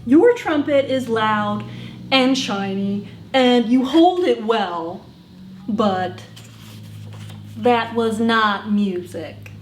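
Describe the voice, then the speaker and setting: A woman plays a gentle storybook elephant, speaking extremely slowly in a fairly high voice. The elephant is hesitant and careful with words, heard in an echoey room. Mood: gentle, honest. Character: gentle, hesitant, tactful elephant friend of a pig. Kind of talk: picture-book read-aloud. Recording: echoey room with faint background noise.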